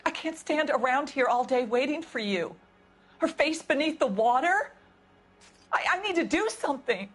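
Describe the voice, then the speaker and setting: A woman's voice: sits high, animated, normal speed. A woman playing a nervous housekeeper questioned by police in a video game, talking hesitantly and stammering. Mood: anxious. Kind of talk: video game interrogation scene.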